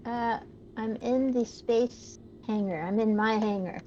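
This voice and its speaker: A woman's voice: a little high, fairly even intonation, unhurried, darkish tone. A woman co-hosting a game stream, talking casually over voice chat. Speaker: co-host, fellow gamer. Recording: dull lo-fi voice chat with noise.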